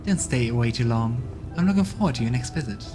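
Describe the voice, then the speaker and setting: A man voicing a seductive female succubus noble in a video game reading, talking in a musing, softly persuasive way. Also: mid-range voice, expressive, fast talker, natural voice. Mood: flirtatious. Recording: background game music.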